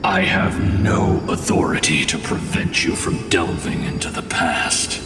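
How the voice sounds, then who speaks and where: A distant, echoing voice under loud music: a solemn, weary male guardian from a fantasy game. He speaks very slowly and formally, with great gravity. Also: deep voice. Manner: grave, formal, measured. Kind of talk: video game voice acting.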